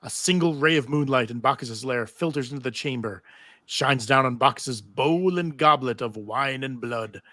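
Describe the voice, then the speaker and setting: A man's voice: medium pitch, normal speed, sharp tone, dry recording, clean studio sound. A man narrating stage directions in a live table read of a TV script.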